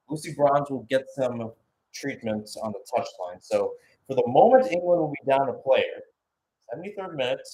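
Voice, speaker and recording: A man does live football play-by-play in a radio style, with a mid-pitched voice. He mixes short, clipped calls of the action with chatty, analytical asides. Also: a little mellow, poor audio.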